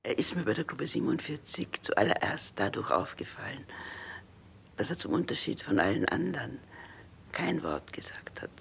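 A man reminiscing in an interview, with a gravelly voice that thins into a breathy whisper. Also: mid-range voice, sing-song, normal speed, a bit bright. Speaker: interviewee recalling a fellow writer.

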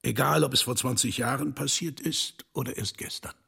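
A man playing an enigmatic, commanding older rich man in a dubbed film drama, speaking deliberately and theatrically with dramatic pauses and hushed drops. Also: medium pitch, full character voice. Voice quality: gravelly, husky.

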